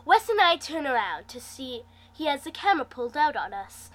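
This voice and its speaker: A woman reading fan fiction aloud in an expressive, dramatic manner, acting out the dialogue as she goes. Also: high-pitched, fairly quick. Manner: animated, dramatic delivery. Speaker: amateur fan fiction reader, host.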